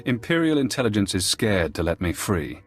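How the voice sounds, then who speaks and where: A deep-voiced captive intelligence operative for a video game. He is calm, precise and clinically detached, explaining things in a measured way with music playing underneath. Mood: calm, sardonic. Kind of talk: video game dialogue acting. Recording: music behind the voice.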